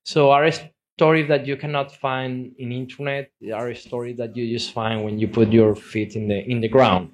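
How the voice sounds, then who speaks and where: A man's voice: medium pitch, level tone, normal speed. A man from Spain and Uruguay with a Spanish accent, a native Spanish speaker, giving an informal slideshow talk as a documentary photographer, often repeating words and restarting his sentences. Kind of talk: informal slideshow presentation to an audience.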